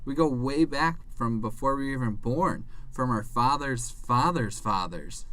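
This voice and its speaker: A man's voice: mid-range voice, animated, unhurried, fairly bright tone. Man voicing an earnest, devoted cartoon dog. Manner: earnest, puzzled, eager. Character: earnest, devoted pet dog, eager and a little naive. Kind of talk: conversational animated dialogue.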